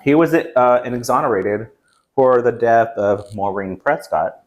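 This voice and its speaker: A man in his forties talks about films in a casual, chatty way. He rambles along as a review co-host, with a medium-pitched voice, picked up by a camera in a small room. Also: a little slow. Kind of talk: casual film chat. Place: small indoor game room.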